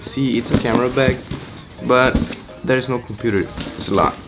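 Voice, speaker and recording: A teenage boy from Brazil, Portuguese native, chatting excitedly in a casual vlog update from his small bedroom, rambling and restarting often. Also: medium pitch, normal speed, over loud music. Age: teens. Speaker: vlogger. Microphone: camera-mounted shotgun mic.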